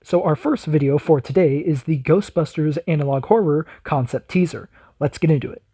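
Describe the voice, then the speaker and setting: Male reaction host, slightly higher-pitched and husky, speaking in casual commentary. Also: fairly quick, warm tone, no room sound.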